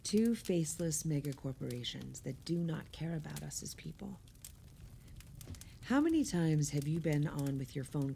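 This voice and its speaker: A woman's voice: low voice, gravelly, dark tone, slight background noise. An actress playing an earnest, exasperated woman with wry opinions, speaking emphatically in conversational radio play dialogue.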